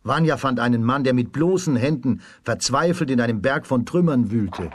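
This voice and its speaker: A man narrating a children's radio play as a storyteller, in a measured storybook delivery. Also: mid-range voice, lively intonation, slight background noise.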